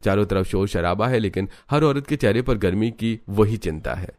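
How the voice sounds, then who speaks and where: A man's voice: fairly low pitch, normal speed, a little mellow, close to an everyday voice. A man narrating a scripted cartoon family story as the storyteller.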